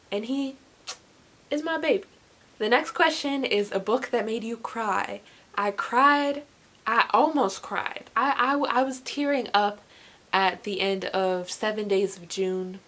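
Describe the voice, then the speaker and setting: A young woman chatting casually as a vlogger, gushing and emphatic, often repeating words for emphasis. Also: mid-range voice. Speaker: book reviewer vlogger. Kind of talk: casual chat to camera.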